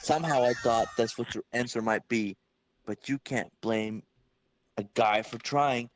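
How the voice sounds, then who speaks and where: A man's voice: medium pitch, fairly even intonation, a little slow, no room sound, close to an everyday voice. Casting: a man reading wisecracking male superhero for a comic dramatic reading, confident, teasing, middle register. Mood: cocky, taunting. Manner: taunting, quippy, read-aloud delivery.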